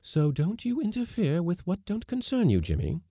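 Man putting on a bossy middle-aged widow, raised pitch. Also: moderate pace, dry recording, studio quality, heavily acted. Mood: decided. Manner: calm, decided.

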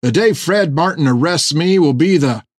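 A man voicing a cocky, easygoing rogue sailor in an audiobook, with brash, rough talk. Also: on the high side, lively intonation, normal speed, no echo, clean studio sound, pitched above the performer's own voice. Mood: scoffing. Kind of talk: audiobook character dialogue.

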